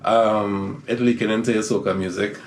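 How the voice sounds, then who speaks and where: A lowish-voiced man hosts a relaxed podcast interview. He is chatty and keeps the guest talking with quick "right"s and follow-up questions. Faint noise hums under the recording. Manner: chatty, prompting with frequent "right". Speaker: podcast host. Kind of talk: casual interview chat.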